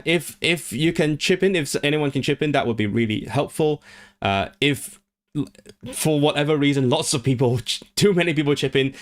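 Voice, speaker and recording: A man chatting casually on his own livestream, rambling with frequent restarts and fillers. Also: medium pitch. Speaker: language streamer.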